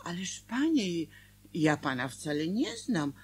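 Husky, cautious cashier's wife. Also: female voice, on the low side, big pitch swings, slow pace, heavily acted. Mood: wary, apologetic. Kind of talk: radio drama acting.

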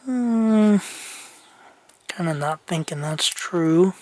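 A man, casually thinking aloud lots of ums and soft muttering while he tests wiring outdoors. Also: high-pitched, expressive, very slow. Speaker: vlogger. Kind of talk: casual hands-on narration while testing a tractor's wiring. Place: outdoor yard and garage workshop.

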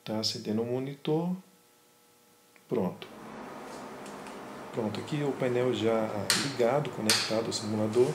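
A man calmly and methodically walking through his home flight simulator, recorded on a camera in a small room. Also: mid-range voice, normal speed, long pauses. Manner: calm, methodical, pointing things out. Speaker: flight sim hobbyist. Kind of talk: casual show-and-tell narration. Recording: roomy, with loud fan and engine noise.

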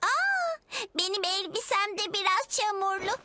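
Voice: extremely high pitch, sing-song, measured pace, heavily acted. An actor playing a cheerful, curious little girl pig in a children's cartoon dub. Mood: chatty.

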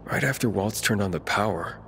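A man's voice: fairly low pitch, fairly quick, background noise, lightly acted. An actor playing an earnest, determined young male hero in a video game, terse and direct. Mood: questioning, determined. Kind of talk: video game dramatic acting.

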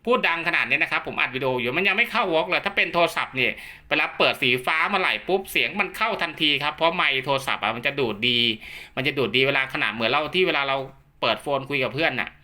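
A man's voice: fairly high pitch, sharp tone. A man giving an informal, chatty product demonstration and explanation, talking like a technician who instructs and repeats his points. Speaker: radio equipment technician and seller giving a demo.

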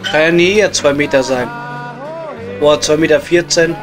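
A man's voice: a little high, normal speed, lightly acted. A man with a Ruhr accent playing a blunt, teasing coal miner bantering in a pub in an improvised audio comedy. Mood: dry banter. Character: gruff, bantering working-class male coal miner in a pub. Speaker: comedy performer. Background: music.

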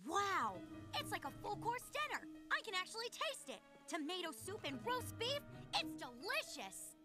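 Brash, eager kid, very high-pitched and animated, cartoon film, loud music beneath. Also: female voice, normal speed. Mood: smug delight. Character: excitable, bold child in a cartoon.

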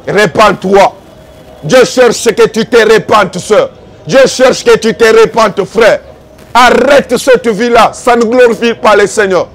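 High-pitched Congolese preacher, exhorting man. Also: slow. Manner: exhorting, accusatory, repetitive rhetorical questions. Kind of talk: fervent evangelical sermon.

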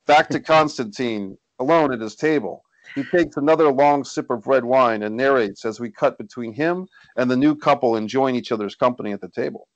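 A man's voice: mid-range voice, brisk, no echo, lo-fi sound. A man reading stage directions as scripted narration at a screenplay table read, recorded indoors over a video call.

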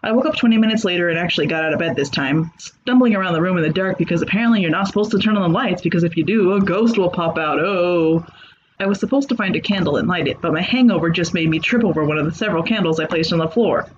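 A man's voice: high voice, quick pace, highs cut off, a little hiss or hum. A man reading a comedic horror story aloud with a dry, sarcastic delivery. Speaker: narrator. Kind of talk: comedic creepypasta narration.

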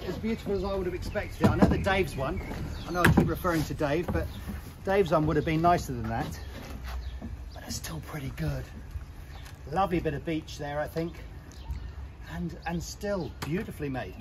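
A middle-aged man chats candidly while he works, thinking aloud in a fairly high-pitched voice. He is recorded on a camera close by in an outdoor yard, with birds and passing traffic clearly audible behind him. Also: normal speed, long pauses. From England. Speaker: boat breaker. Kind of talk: hands-on yard vlog. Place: outdoor boatyard.

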